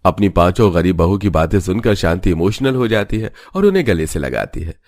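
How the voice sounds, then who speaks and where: A man's voice: low-pitched, sing-song, a little fast, a little mellow, dry recording, studio quality. A man reading scripted storybook narration for a cartoon.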